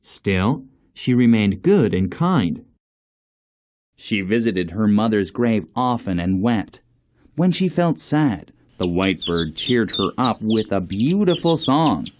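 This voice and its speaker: Male fairy-tale narrator, unhurried and animated, lo-fi sound. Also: on the low side. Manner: slow, deliberate, expressive. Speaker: storyteller.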